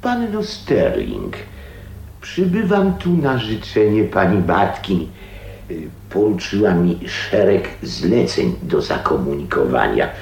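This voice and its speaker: Earnest, preachy clergyman in radio drama; slow, formal, stumbling delivery, echoey sound. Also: low voice, wildly swooping pitch, gravelly, darkish tone, full character voice. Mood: formal, halting. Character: stiff, earnest, moralising male pastor. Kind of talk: Polish radio drama acting. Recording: roomy echo, faint noise, radio drama.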